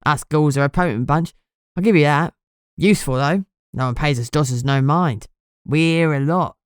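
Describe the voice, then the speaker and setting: A grubby ghoul informant, played by a woman, speaks low in a broad Cockney accent. He is cheeky and streetwise, full of slang and endearments, in a dry, close audiobook recording. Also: normal speed, clear, resonant tone, dry recording, lightly acted. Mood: teasing, sly. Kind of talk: audiobook character dialogue.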